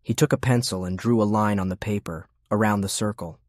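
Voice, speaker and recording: A man's voice: on the low side, brisk, no echo, studio quality. A man reading scripted narration as an audiobook narrator.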